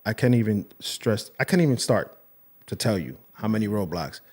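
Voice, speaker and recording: A man's voice: fairly low pitch, lively intonation, moderate pace, darkish tone. A young man hosting a podcast, speaking earnestly and emotionally in a rambling casual chat with many restarts. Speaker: podcast host.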